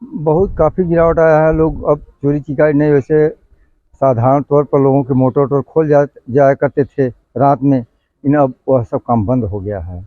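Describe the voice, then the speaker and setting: A man with a medium-pitched voice answers informally and haltingly, like a local being interviewed. The audio is narrow and phone-like. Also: steady tone, no room sound.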